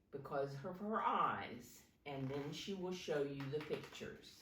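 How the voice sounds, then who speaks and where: A woman reading a picture book aloud to young children like a teacher, in simple deliberate phrases, pausing to point things out. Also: on the low side. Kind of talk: animated children's storybook read-aloud. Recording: roomy echo, distant microphone.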